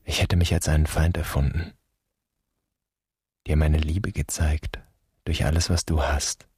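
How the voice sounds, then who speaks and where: Very low, husky, solemn son. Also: male voice, level tone, measured pace, a little mellow, no room sound, studio quality, heavily acted. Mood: sardonic, resigned. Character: wounded, searching son addressing an absent father. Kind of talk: literary radio drama monologue.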